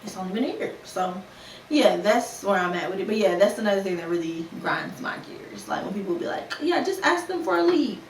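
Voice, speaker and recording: A woman's voice: medium pitch, brisk. Young woman chatting, roomy and distant. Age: 20s. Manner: candid and conversational, with lively put-on quotes and slang. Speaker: podcast host. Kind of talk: casual solo podcast talk. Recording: roomy, a bit distant from the mic, faint ambient noise.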